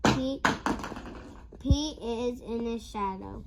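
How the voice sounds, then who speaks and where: A young girl reading a picture book aloud in a halting, word-by-word way. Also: on the high side, heavy background noise. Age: child. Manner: halting, word-by-word early-reader delivery. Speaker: young reader.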